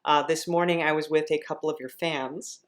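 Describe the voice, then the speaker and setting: A woman speaks in a low register as a podcast interviewer. Her delivery is casual and conversational, like an informal chat with a guest. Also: steady tone, a little fast.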